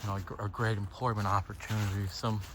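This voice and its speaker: A man thinking aloud in a halting, casual, reflective monologue while walking outside on a trail. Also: lowish voice, little pitch movement. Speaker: solo vlogger. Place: outdoors, walking on a trail by a lake. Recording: outdoor ambient noise.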